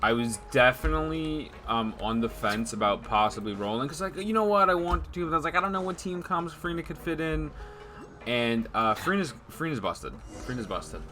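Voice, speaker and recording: A man's voice: a little high, expressive, a little fast, pushed and bright, faint music behind. A young man streaming a game with casual reaction commentary, interjecting in slang and swearing freely.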